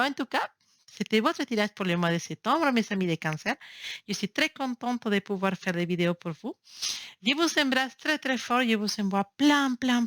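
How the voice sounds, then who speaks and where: Female tarot reader, non-native speaker, halting and searching for words, dry close sound. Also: mid-range voice, no room sound. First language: not French. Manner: affectionate, encouraging. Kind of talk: casual tarot reading to camera. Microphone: built-in camera mic.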